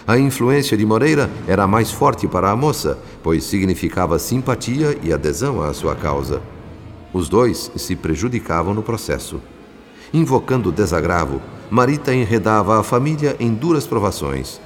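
Deep, reverberant Brazilian narrator. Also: male voice, moderate pace. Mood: grave, concerned. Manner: solemn, formal, dramatically expressive. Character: compassionate, grave male spirit narrator, pleading for calm. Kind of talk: scripted radio drama narration. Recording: long studio reverb, music underneath. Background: music.

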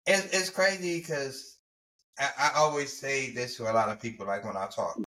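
A man co-hosting a casual podcast, a high-pitched voice and a laid-back, rambling way of talking. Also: normal speed, bright, forceful tone, poor audio. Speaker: podcast co-host.

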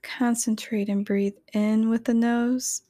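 A woman reading a scripted guided meditation in a soothing, gentle, measured manner. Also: fairly high pitch, flat delivery, dry recording.

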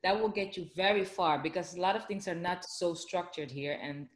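A woman's voice: lowish voice, normal speed, echoey room. A woman with a Dutch accent, chatting casually in an interview with lots of fillers and restarts. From Netherlands.

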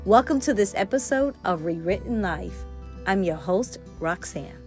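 A woman's voice: medium pitch, expressive, unhurried, faint music behind. A woman with a Spanish accent, a native Spanish speaker, hosts a casual Bible-teaching podcast in a conversational, earnest and emphatic way.

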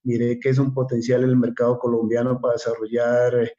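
A man in his fifties from Ecuador with an Ecuadorian accent, a guest telling his story in a video-call interview, halting and repeating words with many fillers. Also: medium pitch, monotone, warm tone. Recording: slightly dull video call audio.

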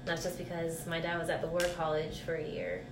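A woman in her thirties being interviewed, answering in a relaxed, chatty way. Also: deep voice, a little fast. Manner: relaxed, chatty, laughs easily. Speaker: interview guest. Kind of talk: casual conversational interview. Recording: roomy with steady hiss.

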